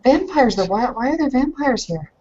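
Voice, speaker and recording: A woman speaking haltingly and casually as a player in a tabletop roleplaying game, on a dull recording. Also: sits high, big pitch swings, a little mellow.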